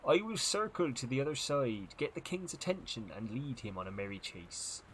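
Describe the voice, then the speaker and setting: A man voicing a small, quick, daring female first mate with a put-on accent. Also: mid-range voice, big pitch swings, a bit bright, slight background noise, rough recording. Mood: bold, reassuring.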